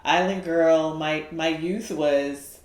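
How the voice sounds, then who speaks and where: A woman's voice: sits low, steady tone, slow pace, roomy sound. A woman from the Bahamas, a guest in a casual interview, with a faint Bahamian lilt, telling her story with many fillers and laughs. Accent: faint Bahamian lilt in speech pattern.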